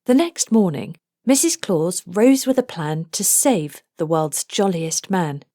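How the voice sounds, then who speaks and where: A woman narrating a children's storybook aloud, with deliberate, rhythmic delivery of rhymed phrases. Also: lowish voice, expressive, warm tone, dry recording, studio quality. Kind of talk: scripted storybook read-aloud.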